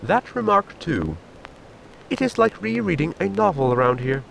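A man's voice: on the high side, very animated, normal speed, gravelly, fairly bright tone, a little hiss or hum, full character voice, rough recording. An actor playing a formal, fussy inventor crewman with stiff, precise diction in a sci-fi audio comedy. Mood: dry, fussy. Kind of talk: audio sitcom acting.

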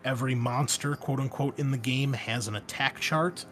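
A man's voice: medium pitch, normal speed, faint music behind. A man hosting as game master, explaining tabletop game rules in a casual, conversational way. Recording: clear steady background noise.

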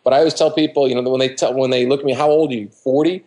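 A man's voice: mid-range voice, steady tone, rapid-fire, mellow. A man in his fifties giving casual, colloquial advice on a video call, emphatic and repetitive, acting out quoted remarks. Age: 50s. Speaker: dating coach, interview guest. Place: living room at home, indoors. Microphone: computer mic on a video call.

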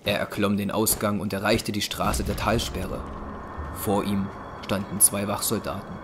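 A man's voice: fairly low pitch, level tone, unhurried, noisy background. A man narrating an audio drama in a scripted, dramatic storytelling style. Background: music.

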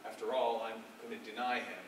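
Heard from far off through clear noise, this is an earnest, humbled fisherman apostle played by a man on stage. His low voice delivers a dramatic monologue haltingly, pausing to reflect and then leaning hard on his words. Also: little pitch movement, natural voice. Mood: anguished, protective. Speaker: stage actor. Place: dark theatre stage, recorded from the audience. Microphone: far camera. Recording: distant, echoey, noisy stage recording.

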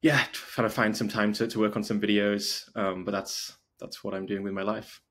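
A man's voice: lowish voice, level tone, moderate pace, a bit bright. A man from North Wales chatting informally as an interview guest, often dropping "like" into his sentences. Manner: informal, frequent "like" as filler. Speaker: podcast guest, video maker and documentary filmmaker. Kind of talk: casual interview chat.

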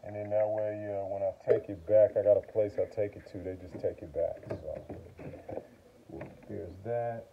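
A noisy outdoor phone recording of a middle-aged man with a fairly low voice. He talks informally and haltingly as he works, explaining things in folksy, slangy terms. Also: steady tone, vocal fry, highs cut off. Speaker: vlogger demonstrating generator maintenance. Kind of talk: casual how-to chat while working. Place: outside by a wooden porch.

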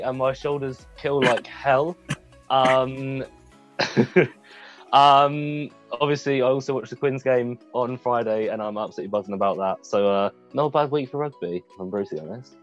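A man's voice: medium pitch, normal speed, muffled recording, faint music behind. A young man joining a casual podcast as a guest co-host over a video call on a headset, friendly and polite. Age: young adult.

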